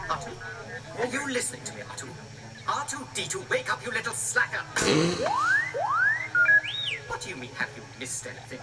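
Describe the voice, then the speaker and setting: An actor playing a fussy, haughty humanoid droid in a radio drama, prim, anxious and full of complaints. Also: male voice, high voice, big pitch swings, fairly quick, long pauses. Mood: scolding, exasperated. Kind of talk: 1980s radio drama acting. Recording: echoey, off-mic, under loud film music.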